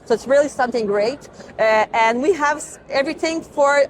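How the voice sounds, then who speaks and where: A woman whose first language is Portuguese, pitching her business at an expo in an earnest way, searching for words and repeating her phrases. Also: moderate pace. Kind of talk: casual sales pitch interview. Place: busy expo hall. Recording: noisy crowded expo hall. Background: crowd chatter.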